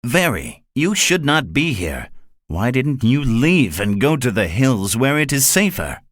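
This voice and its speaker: A man's voice: medium pitch, very animated, measured pace, no echo, voice pushed up. An earnest young army officer, as the narrator plays him, speaking urgently with a reproachful edge. His voice rises and falls freely in a dry, close sound.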